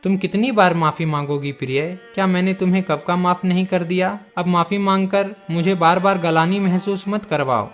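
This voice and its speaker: A gentle exiled king acted by a man in a cartoon tale. He is patient and forgiving, speaking formally in a moderately high voice over faint music. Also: steady tone, normal speed, hardly any pauses, lightly acted. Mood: gentle, regretful. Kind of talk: Hindi cartoon moral-story acting.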